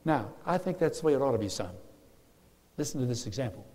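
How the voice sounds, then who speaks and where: A man's voice: medium pitch, lively intonation, fast talker, warm tone. Older man, church lecturer, emphatic and wry, long hall reverb. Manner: earnest, emphatic, dry humor with audience. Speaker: guest speaker on church music. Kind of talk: teaching sermon to a congregation. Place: large church sanctuary. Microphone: lectern mic.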